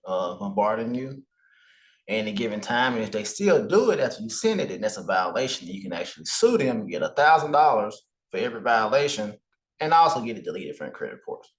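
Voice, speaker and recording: A man with a fairly low voice, speaking as a podcast guest. He lays out his advice calmly and in a measured way, like an expert explaining the rules. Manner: calm, explanatory advice-giving. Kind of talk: casual podcast interview.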